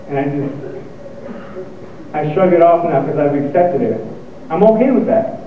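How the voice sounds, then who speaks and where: A young man with a stutter gives a motivational talk, halting and restarting his phrases. His voice sits a touch high and comes from a distance, thin and tinny like a phone line. It rings through a very echoey hall. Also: slight background noise. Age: young adult. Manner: stuttering, pausing and repeating words. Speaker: student speaker. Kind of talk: motivational speech. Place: lecture hall.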